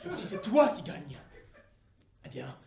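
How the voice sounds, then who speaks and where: A man's voice: fairly high pitch, sing-song, unhurried, vocal fry, over music, pitched below the performer's own voice, full character voice. A man doing a cackling gleeful devil. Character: gleeful male devil, boastful and wild. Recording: echoey room.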